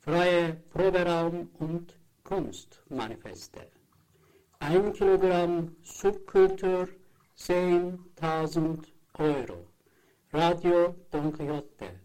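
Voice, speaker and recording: Slow, dark monotone chanting voice. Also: medium pitch, low-quality recording. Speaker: chanting voice in a radio art piece. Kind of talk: repetitive chanted slogans.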